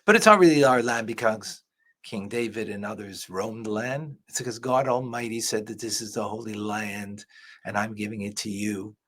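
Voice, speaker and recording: A man's voice: lowish voice, normal speed, fairly bright tone. A man, a rabbi, giving earnest religious commentary as he reacts to a clip. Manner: earnest, preacher-like teaching.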